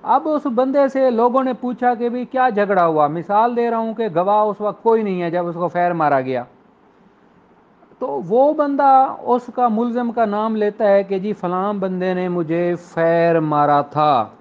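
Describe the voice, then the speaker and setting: A man teaches in a didactic, step-by-step style. He slips into English phrases, which he reads out with deliberate care. The sound is somewhat dull and lo-fi. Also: high-pitched. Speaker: law lecturer. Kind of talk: explanatory law lecture with examples, code-switching into English.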